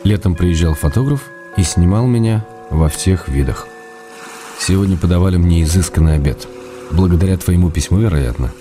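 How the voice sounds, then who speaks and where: An actor playing a tender, wry husband reading his love letters aloud in a soft, unhurried radio drama manner. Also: deep voice, natural voice. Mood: wry, calm. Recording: music behind the voice.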